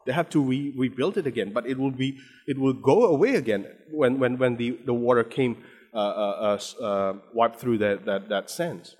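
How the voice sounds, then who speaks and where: A man's voice: medium pitch, moderate pace, darkish tone, breathy edge. A man from Indonesia with an Indonesian accent, preaching a sermon with frequent restarts and repeated words, building to emphatic stress. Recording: long hall reverb.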